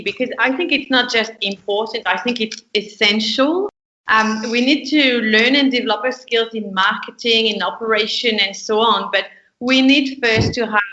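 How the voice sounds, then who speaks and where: A woman's voice: fairly high pitch, sharp tone. A woman from France with a French accent, a business lecturer explaining step by step as a podcast guest. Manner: earnest, explains with step-by-step examples. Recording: echoey room, dull lo-fi sound.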